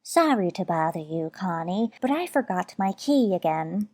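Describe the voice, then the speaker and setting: A woman's voice: medium pitch, lively intonation, moderate pace, a little mellow, no room sound, close to an everyday voice. A woman playing a chatty, dotty older landlady in an old-time radio sitcom.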